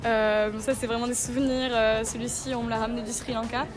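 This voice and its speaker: A young woman talks quickly and fluently on a city sidewalk. She chats freely about each item she is asked about. Music plays clearly in the background. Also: on the high side, level tone. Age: young adult. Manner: fluent, chatty. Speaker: passerby interviewed. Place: city street outdoors.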